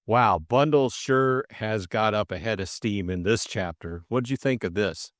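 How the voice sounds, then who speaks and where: A man's voice: fairly low pitch, measured pace. A middle-aged man working as audiobook narrator and co-host, reading a story and chatting about it. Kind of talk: audiobook narration and casual discussion.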